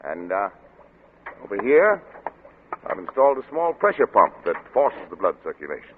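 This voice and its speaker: Through a narrow, faintly noisy vintage radio recording comes a driven man of science. His lowish voice lectures briskly and insistently, as if nothing may interrupt his work. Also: big pitch swings. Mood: absorbed, explaining. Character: driven, obsessive male scientist and doctor, brusque father. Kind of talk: old-time radio drama acting. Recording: crackly, band-limited vintage radio broadcast.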